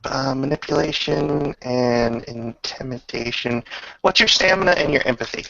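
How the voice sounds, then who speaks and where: A man with heavy vocal fry and a bright, forceful edge runs a tabletop roleplaying game. He talks haltingly and at an unhurried pace, muttering over rules and dice. The recording is dull and lo-fi. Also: mid-range voice, no echo. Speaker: game master. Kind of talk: running a casual tabletop roleplaying session.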